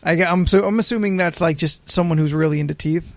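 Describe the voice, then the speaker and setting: A man with a high, creaky voice hosts a casual comedy podcast. He chats loosely and jokingly, and the sound is dry and close. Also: fast, no room sound. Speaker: podcast host.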